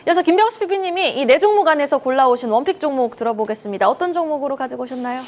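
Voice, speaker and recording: Brisk, higher-pitched female news anchor. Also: animated. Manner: polite, formal. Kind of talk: broadcast presenting.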